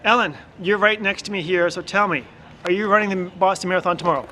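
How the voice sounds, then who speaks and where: A man's voice: high-pitched, fast, bright, forceful tone, slight background noise, poor audio. A man hosting a casual podcast outdoors on campus steps, upbeat and joking as he asks his guests questions. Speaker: podcast host.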